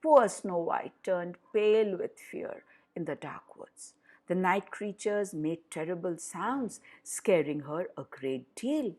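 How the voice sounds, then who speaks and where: Lady reading a kids fairy tale, really slow, lots of up and down, dramatic emphasis. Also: fairly low pitch, lively intonation, darkish tone. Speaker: storyteller for children. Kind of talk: expressive fairy tale narration.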